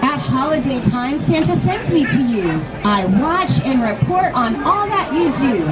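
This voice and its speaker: High-pitched woman, children's author doing storytime at a busy event, loud music behind her. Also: very animated, measured pace, a loud crowd, poor audio. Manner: addresses children with frequent simple questions. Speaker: author reading a children's book aloud. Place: large busy toy store event.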